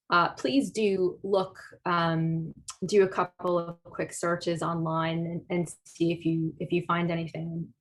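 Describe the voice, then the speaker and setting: A woman artist answering audience questions at a talk, thoughtful and hesitant, with many fillers and restarts. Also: lowish voice. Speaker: guest speaker, artist.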